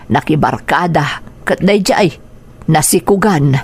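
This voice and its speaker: A woman acts out an older village wife and mother in a radio drama. Her voice is husky and worried, with an Ilocano Filipino accent. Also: low-pitched, takes it slowly, darkish tone, exaggerated voice.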